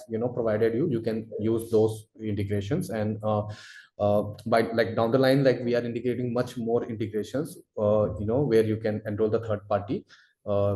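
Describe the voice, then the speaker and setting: A man answering webinar questions as a product expert, explaining in a halting, wordy way full of verbal fillers. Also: fairly low pitch, mellow. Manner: halting, wordy, repeats filler phrases "you know". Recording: roomy, echoey webinar audio.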